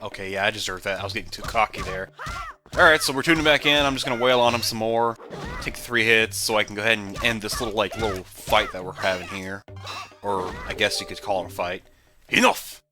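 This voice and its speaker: A man giving casual, jokey, rambling commentary on a video game he is playing, with sudden loud whoops. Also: lowish voice, steady tone, a little fast. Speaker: let's play commentator. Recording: game music behind voice.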